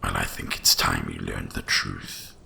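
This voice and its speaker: A man voicing an ancient, cursed winter dragon in a hushed ASMR fantasy monologue, with a gravelly, breathy rumble and slow, mysterious storytelling. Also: level tone, full character voice. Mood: conspiratorial, knowing. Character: ancient cursed male winter dragon, wise, once proud, brooding.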